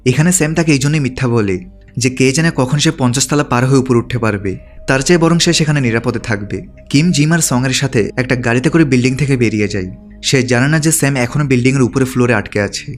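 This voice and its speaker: A man reading a scripted story recap as a narrator. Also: mid-range voice.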